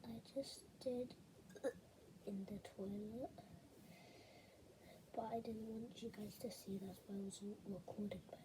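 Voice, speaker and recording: A woman's voice: mid-range voice, steady tone, measured pace, long pauses, background noise. A teenage girl with a cold vlogging casually at home, rambling and often dropping to a whisper. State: has a cold. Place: home bedroom.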